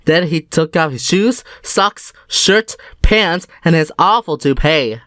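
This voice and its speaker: A woman's voice: sits low, expressive, slow pace, a bit bright, no room sound, clean studio sound. A woman reading a children's story as a scripted narrator.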